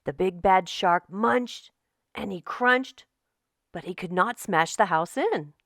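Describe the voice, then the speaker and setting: A woman narrating a children's story as host, in an expressive, deliberate read-aloud style. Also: medium pitch, no echo, clean studio sound. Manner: slow, animated, deliberate storytelling delivery.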